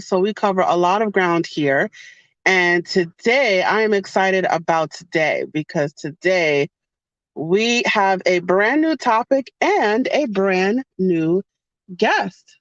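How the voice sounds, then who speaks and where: A woman's voice: medium pitch, no echo, dull audio. A woman hosting a podcast from her car, chatting casually and repeating her phrases. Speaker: podcast host. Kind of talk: casual podcast intro and interview.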